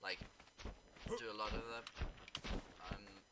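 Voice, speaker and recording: A teenage boy from South Africa rambles and makes sarcastic asides as he plays a game. His voice sits very low, with the odd curse dropped in. A heavy wash of noise runs under the whole recording. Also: little pitch movement, slow. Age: teens. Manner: rambling, sarcastic, swears casually. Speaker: gaming YouTuber. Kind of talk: rambling casual chat while gaming.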